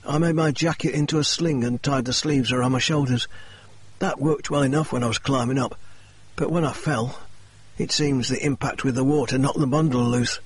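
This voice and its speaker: A man voicing an injured, desperate, rough-faced grown man, husky and pleading in a raised voice. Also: medium pitch, fairly even intonation, normal speed, no echo, voice pushed up, close to an everyday voice. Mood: weary.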